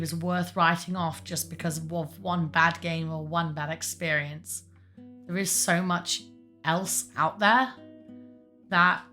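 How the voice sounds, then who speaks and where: Croaky woman giving casual advice. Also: lowish voice, bright, forceful tone. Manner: rambling, chatty, self-mocking asides. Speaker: larp advice host.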